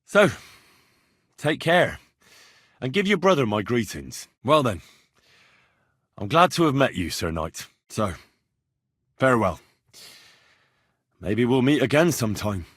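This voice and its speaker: Game role: cheeky young swordsman in a medieval town. Husky, very animated, blunt bargainer. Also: mid-range voice, slow pace, pauses often, no echo, clean studio sound, heavily acted. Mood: polite, friendly. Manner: blunt, haggling, cocky. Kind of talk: video game character dialogue.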